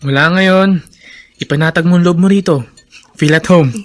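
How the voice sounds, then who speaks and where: Actor as earnest young gentleman landowner. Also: high voice, moderate pace, close to an everyday voice. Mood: considerate. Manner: polite, sincere. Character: kind, earnest young male plantation master. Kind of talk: Filipino radio drama acting.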